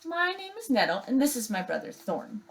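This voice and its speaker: A woman's voice: high voice, very animated, brisk, voice pushed up. Woman playing a snarky, bossy young bear sister. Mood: mock-polite. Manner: mocking, snappish.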